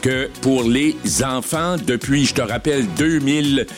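A man's voice: medium pitch, a little slow. Quebec newsman over instrumental music. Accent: Quebec French. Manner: informal, addresses co-host directly. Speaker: radio news presenter. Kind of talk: conversational morning news briefing. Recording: music clearly playing behind voice.

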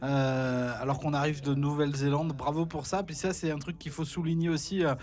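A man hosting live radio from the studio, lively and jovial, interrupting with questions. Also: fairly high pitch, fairly quick.

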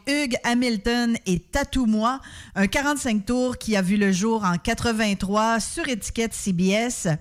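A woman with a Québécois accent hosting a music radio show, chatty and informal, pausing often as she presents records. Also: mid-range voice. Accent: Québécois French. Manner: chatty, informal, hesitant with many pauses. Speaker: radio host.

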